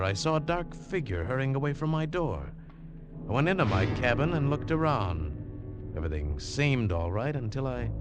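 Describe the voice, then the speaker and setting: An actor playing a hard-boiled, suspicious adventurer, terse and sardonic, in a 1940s radio drama. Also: male voice, medium pitch, close to an everyday voice. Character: hard-boiled, suspicious male adventurer narrating his own tale. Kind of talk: 1940s radio drama acting with first-person narration.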